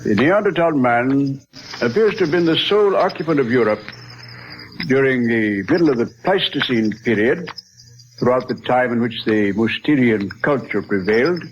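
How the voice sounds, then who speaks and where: An actor playing a learned, courteous scientist in 1940s radio drama, speaking in a formal, deliberate, lecturing manner. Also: male voice, mid-range voice, expressive, close to an everyday voice. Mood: assured, lecturing. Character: learned, courteous male scientist, solemn and assured. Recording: muffled, noisy 1940s radio recording.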